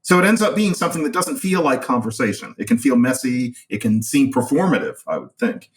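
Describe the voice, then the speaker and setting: A man speaks a little away from the mic, at an average pitch. He explains ideas in an articulate, animated way and voices little examples to make his points. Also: brisk, recorded from a distance. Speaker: expert guest. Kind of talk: explanatory discussion of language and society.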